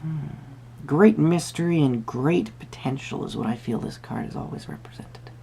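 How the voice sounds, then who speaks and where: A performer playing a whimsical magical tarot reader, musing hesitantly in a soft-spoken roleplay with a creaky voice. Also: mid-range voice, a little hiss or hum, natural voice. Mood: musing, gentle. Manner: hesitant, musing, pausing with hums.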